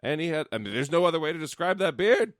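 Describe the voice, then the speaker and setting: A man's voice: fairly high pitch, sing-song, fast talker, dry recording, studio quality. A man giving casual, wry joking commentary as a co-host. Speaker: comedy co-host.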